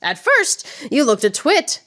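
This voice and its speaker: A proud, witty swordswoman poet of radio theatre, played by a woman. Her high voice swings widely in pitch and takes its time, savouring each mocking turn of verse with a theatrical swagger. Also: wildly swooping pitch, unhurried, fairly bright tone, heavily acted. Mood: triumphant, taunting. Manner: declamatory, mocking, precise wordplay.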